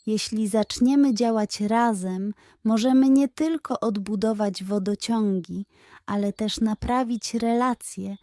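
A wise, reassuring pig detective from a children's bedtime story, played by a woman. He speaks slowly and persuasively, in a soft, mellow voice that sits fairly high for such a character. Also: no room sound, studio quality.

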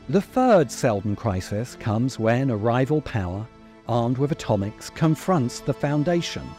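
A middle-aged man delivering scripted commentary as a video essayist, with measured, emphatic phrasing. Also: mid-range voice, dark tone. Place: open-sided covered outdoor space. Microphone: desk microphone close in front. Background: music.

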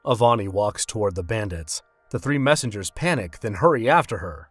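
Male fantasy narrator, husky and expressive, reading scripted scenes in a very dry recording. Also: fairly low pitch, fairly quick, no room sound.

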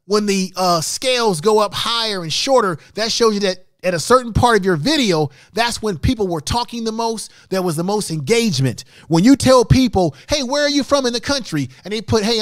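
A man's voice: high voice, no room sound, studio quality. A middle-aged man hosting a live-stream tutorial, talking to his chat room in an emphatic, repetitive, instructive way. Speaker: livestream host, YouTube creator. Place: small home studio room indoors. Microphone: large microphone close in front of mouth.

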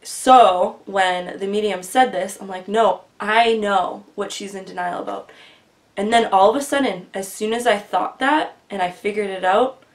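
A woman in her twenties earnestly telling a long personal story in casual, rambling vlog style, her voice often dropping quieter, recorded on a webcam in a small bedroom. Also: mid-range voice, moderate pace. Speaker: vlogger. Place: small bedroom with bookshelf, indoors. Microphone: webcam at desk distance.

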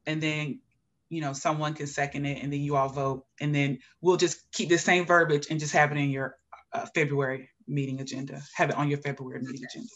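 A city staff member on a video call, practically guiding a board through its procedure. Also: female voice, sits low, flat delivery, brisk, a bit bright. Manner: guiding, practical, says "you know" often.

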